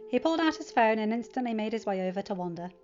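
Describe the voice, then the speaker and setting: A woman's voice: fairly high pitch, expressive, rapid-fire, darkish tone, dull audio. A woman reading scripted story narration as the narrator of a fan fiction audiobook.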